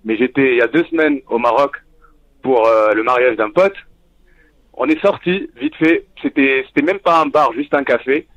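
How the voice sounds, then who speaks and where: A man's voice: medium pitch, fairly bright tone. A man from Tunisia, a native Arabic speaker, calling in to a live talk stream and talking earnestly with frequent restarts. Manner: earnest, halting with many restarts. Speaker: caller. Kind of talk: call-in talk. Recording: dull lo-fi phone call audio.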